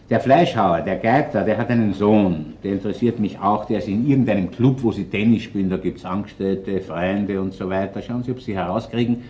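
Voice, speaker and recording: Man acting a middle-aged Viennese police inspector, low voice, gruff, commanding, radio crime drama. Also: darkish tone, slight background noise, close to an everyday voice. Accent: Viennese Austrian. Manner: colloquial, blunt, commanding.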